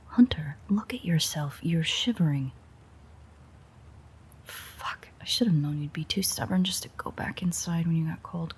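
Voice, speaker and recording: A woman playing a weary, sardonic, teasing female vampire in an intimate audio roleplay, with a breathy, husky voice that often drops near a whisper and a dry, sarcastic way of talking. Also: sits low, a little mellow, full character voice. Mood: exasperated, bossy. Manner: dry, rambling, sarcastic banter.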